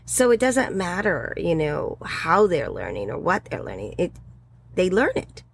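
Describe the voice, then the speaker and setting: Older woman with a gravelly voice. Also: fairly low pitch, measured pace, slight background noise. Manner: rambling, animated storytelling with fillers and laughs. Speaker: interview guest, educator. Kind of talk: casual interview chat.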